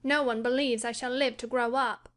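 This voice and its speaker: Woman narrator voicing a spoiled invalid boy of ten, high-pitched, imperious and cross. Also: fairly even intonation, normal speed, a bit bright, close to an everyday voice. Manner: demanding, cross and indifferent. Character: sickly spoiled ten-year-old boy, fretful and imperious. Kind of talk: audiobook character voice.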